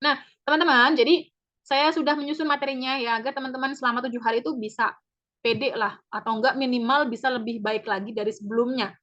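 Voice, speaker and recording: Upbeat lady doing a webinar pitch, keeps repeating stuff, kinda muffled sound. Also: steady tone, fast, a bit bright. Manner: chatty, upbeat, repeats key points. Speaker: public speaking trainer. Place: online webinar. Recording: dull lo-fi webinar audio.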